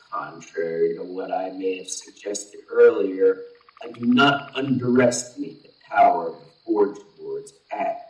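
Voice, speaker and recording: A man acts out a solemn alien warrior in an audio drama, low in pitch and very slow. He is formal and grave, with impatience under the measured words. The voice sits away from the mic in a roomy echo. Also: far from the mic. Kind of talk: scripted audio drama dialogue.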